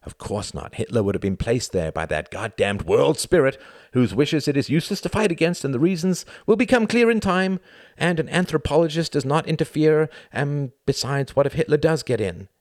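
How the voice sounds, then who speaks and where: Casting: male reader playing curt, tired, reserved English male in a novel, argumentative, very varied pitch, dry sound. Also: very animated, moderate pace, no echo. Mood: bitter sarcasm. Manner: curt, brusque. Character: irritable, weary young Englishman, argumentative and reserved.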